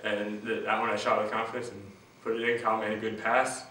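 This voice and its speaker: A young man, a college basketball player, answering postgame interview questions in a plain, earnest, slightly halting way. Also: on the low side, level tone, bright, forceful tone. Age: young adult. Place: small room at a team backdrop. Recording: echoey room, distant mic, faint hiss.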